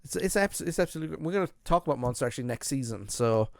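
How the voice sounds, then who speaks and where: A man's voice: on the high side, expressive, racing, creaky, no room sound, clean studio sound. A man hosting a podcast, chatting casually about music in a rambling way with lots of restarts. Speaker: podcast host.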